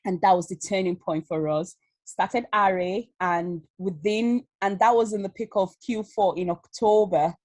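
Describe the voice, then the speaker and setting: A woman's voice: medium pitch, measured pace, no echo. A woman in her thirties from Leeds, with a Yorkshire accent mixed with Nigerian, telling her story in a casual interview over a video call. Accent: Yorkshire with Nigerian touches. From Leeds, England. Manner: animated, laughs often, many fillers. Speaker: guest, Amazon seller.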